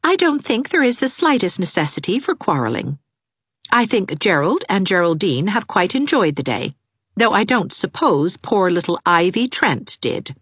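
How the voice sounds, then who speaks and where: A woman reading aloud, voicing a dignified young schoolteacher who speaks in a crisp, icy, stately way. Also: medium pitch, sing-song, moderate pace, a bit bright, no echo, clean studio sound, pitched above the performer's own voice. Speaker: teacher.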